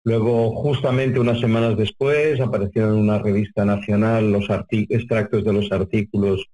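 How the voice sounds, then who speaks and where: An older man from Spain with a fairly low voice speaks as a guest in an interview. He is conversational and reflective. He talks in long, wordy runs, repeating and rewording phrases along the way. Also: fairly even intonation, moderate pace, warm tone, rough recording. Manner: wordy, repeating and rephrasing as he goes. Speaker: interview guest, UFO contactee researcher.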